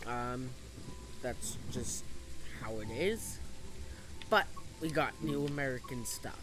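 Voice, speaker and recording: A man's voice: medium pitch, lively intonation, takes it slowly, over music, low-quality recording. A young man from the US East Coast commentating casually on a game, hesitant with many fillers, his voice a little off from coughing. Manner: hesitant, lots of fillers and restarts. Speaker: game streamer.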